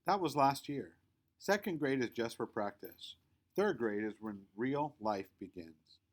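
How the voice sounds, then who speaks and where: Man reading as a bossy young girl, animated pitch. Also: unhurried. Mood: lecturing. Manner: emphatic, know-it-all. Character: bossy, prissy young girl, self-assured best friend.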